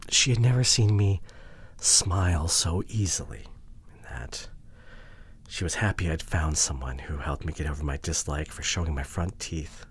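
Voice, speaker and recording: Breathy, emotional boyfriend acted by a man, swinging pitch. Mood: rueful, subdued. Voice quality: breathy, often dropping to whispers. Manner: emotional, earnest, halting with sighs. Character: remorseful, devoted boyfriend pleading to repair relationship. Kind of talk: intimate ASMR boyfriend roleplay audio drama.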